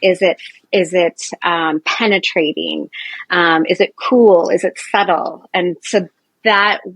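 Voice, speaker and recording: A woman in her forties with a lowish, husky voice, talking with a guest's easy chattiness. She is loud and animated and fills her sentences with "um" and "you know". The recording is dry and close. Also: slow pace, no room sound, rough recording. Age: 40s. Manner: chatty, many fillers and restarts, animated. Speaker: nurse and Ayurveda practitioner, guest. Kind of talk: casual interview chat.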